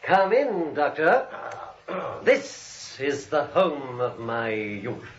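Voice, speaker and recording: A menacing criminal nephew in an old radio play. He speaks slowly and grandly, with huge swoops in pitch, on a dull vintage recording. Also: sing-song, full character voice. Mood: grandly ominous. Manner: slow, grandiose, deliberate speech. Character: sinister, menacing long-lost nephew returning home, a criminal. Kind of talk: 1940s radio drama acting.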